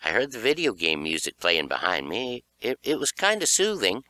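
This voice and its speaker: A man narrating a children's horror audiobook in an expressive storytelling style. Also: on the low side, normal speed, dry recording.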